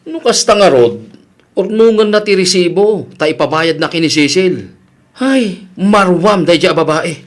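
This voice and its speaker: A man's voice: sits high, lively intonation, a little slow. A man playing a concerned, disapproving village husband in an Ilocano comedy radio drama, with an Ilocano accent.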